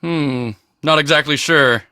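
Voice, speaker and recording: A man voicing a cocky, boastful teenage high school football star in a video game, with a swaggering, emphatic, self-important delivery. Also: a little slow, sharp tone, smooth, no room sound, clean studio sound. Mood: guarded, dismissive. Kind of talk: video game character dialogue.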